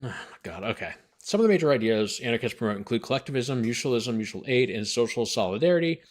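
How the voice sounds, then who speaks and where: A man's voice: mid-range voice, very animated, fast. A man hosting as a lawyer, reading a document aloud and breaking off for incredulous, sarcastic commentary. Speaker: lawyer host.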